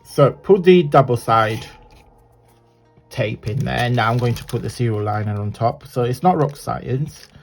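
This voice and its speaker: Crafting host, male, croaky and husky, rambling chat over faint music. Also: mid-range voice, measured pace. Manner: rambling and chatty, many fillers and restarts. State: croaky morning voice. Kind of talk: casual chat while crafting. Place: home craft table, indoors.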